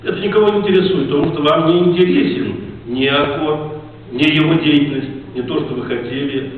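Hoarse man, reproachful speech, booming hall, heard from the back. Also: fairly quick. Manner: reproachful, rambling, rising to indignation. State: hoarse from a cold. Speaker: farmers' association head addressing a meeting. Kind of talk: emotional public speech. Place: large assembly hall with seated audience. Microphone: far camera in the audience rows. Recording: distant echoey hall, tinny, faint noise.

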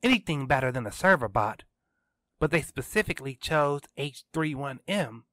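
A man's voice: medium pitch, lively intonation, moderate pace, fairly bright tone, dry recording, voice pushed up, natural voice. A man voicing an earnest, eager teenage boy in a story, insistent and sure of himself. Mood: earnest, certain.

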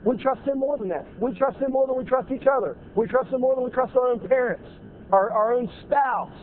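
A man's voice: extremely high pitch, fairly quick. A man in his thirties preaching a sermon in a church sanctuary on a lapel mic, emphatic and repeating key phrases. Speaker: pastor. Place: large church sanctuary with choir behind. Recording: tinny narrow-band audio, faint noise.

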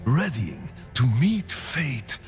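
A fervent believer speaks very slowly, with wide swings of pitch and reverent intensity. It is a rapturous game cinematic monologue with music behind it. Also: male voice, wildly swooping pitch. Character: fervent, rapturous male religious devotee narrating a prophecy.

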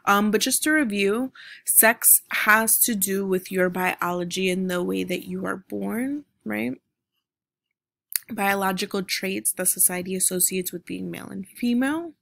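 A woman's voice: medium pitch, a little slow, creaky, fairly bright tone, no room sound, clean studio sound. A young adult woman from the United States, a teacher giving a recorded online mini-lesson over slides, explaining step by step with frequent ums and "right?" checks. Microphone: computer microphone, voice-over on screen recording.

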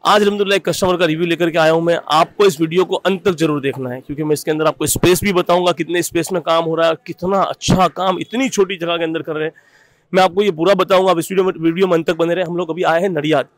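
A man hosting an on-site customer review video for a company, talking upbeat and energetic as he tours a small bottling room. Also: fairly high pitch, quick pace. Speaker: company representative host.

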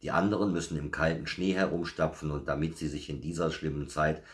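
Man playing a storybook mama bear, motherly, patient, a little smug, very low voice. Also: steady tone, a little fast. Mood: patient, explaining. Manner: explaining patiently. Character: motherly, patient, self-satisfied adult female bear. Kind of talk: children's storybook reading.

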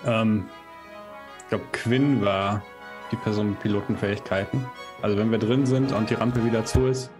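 A man with a rather low voice plays a tabletop game. He talks casually about his moves, and soft music runs behind him. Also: fairly even intonation, moderate pace. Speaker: player.

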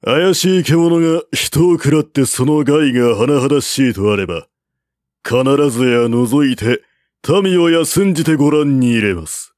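A man's voice: medium pitch, unhurried, darkish tone, no echo, pitched above the performer's own voice, natural voice. A male narrator puts on a boastful warrior hero of an ancient Chinese epic. He declaims formally and resolutely in a raised male voice. The storybook recording is close and dry. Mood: bold, resolute.

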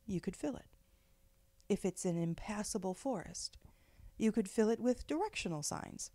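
Mellow, creaky-voiced woman guiding meditation. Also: mid-range voice, dry recording. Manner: gentle, measured, soothing instruction with pauses. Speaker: guided meditation leader, spiritual director. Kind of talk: guided meditation narration.

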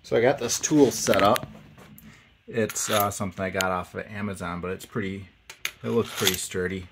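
A middle-aged man with a fairly low voice talks through a hands-on mechanical job. He speaks casually and haltingly, thinking aloud as he goes. There is faint noise from the workshop around him. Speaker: hobbyist mechanic demonstrating a repair. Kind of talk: casual hands-on how-to narration. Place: home garage workshop, indoors.

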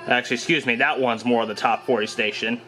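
A man's voice: medium pitch, steady tone, fast. A young man talks through a hands-on demonstration in a plain, offhand manner. He is in a room at home, speaking from behind a handheld camera, with music in the background. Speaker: gadget demonstrator. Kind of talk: casual hands-on demo narration.

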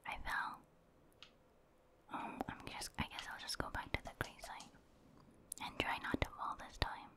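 A woman whispering casual, gentle ASMR commentary as she thinks aloud while playing a video game. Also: a little slow, pauses often. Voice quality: breathy, voiceless whisper. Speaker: gaming ASMR streamer.

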